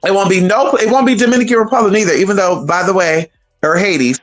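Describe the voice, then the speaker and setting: A woman's voice: mid-range voice, fast, faint music behind. A woman chatting casually on a livestream, sassy and profane, calling her viewers honey and girl. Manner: animated, talks straight to viewers.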